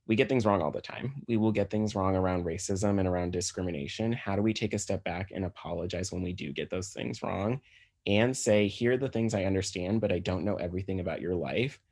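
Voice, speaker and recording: A man with an American accent and a low voice presents as a physician. He explains his material in a conversational lecture style, as one would over slides. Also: darkish tone.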